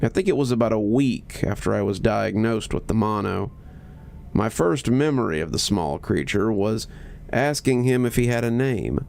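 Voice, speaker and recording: A man reading a scripted horror story in measured, deliberate phrases with pauses. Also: lowish voice, expressive, creaky, darkish tone.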